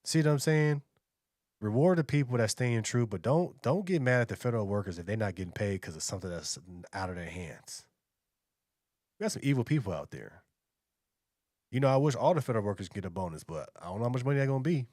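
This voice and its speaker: A man's voice: medium pitch, lively intonation, quick pace, darkish tone, no echo, clean studio sound. An American man giving casual, opinionated commentary as a reaction video host, in slangy, conversational speech. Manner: colloquial, slangy, conversational delivery.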